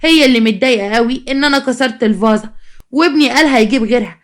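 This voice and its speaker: A woman's voice: fairly high pitch, racing, lightly acted. A woman storyteller voicing a meddling middle-aged mother-in-law with an Egyptian accent, reproachful and slyly mock-sweet. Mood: needling. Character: meddling, needling mother-in-law of a new bride. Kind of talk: dramatized storytelling.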